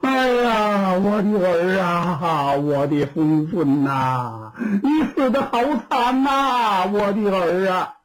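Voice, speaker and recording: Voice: mid-range voice, expressive, slightly rough, rough recording. Storyteller doing a wailing, grieving old woman. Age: older. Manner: wailing, drawn-out crying speech.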